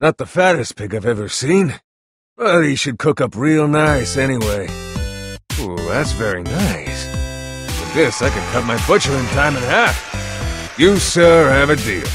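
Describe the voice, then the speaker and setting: An actor playing a gruff, hard-bargaining butcher and street vendor in a video game, with a gravelly, raspy voice and a brash, folksy huckster manner. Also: male voice, mid-range voice, expressive, unhurried, over music, natural voice. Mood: pleased. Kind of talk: video game character voice lines.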